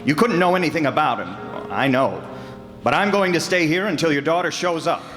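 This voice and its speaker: A man's voice: fairly high pitch, expressive, normal speed, fairly bright tone. A man playing a persistent, earnest, plain-spoken detective in an old-time radio drama. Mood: insistent. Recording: echoey hall, faint music behind.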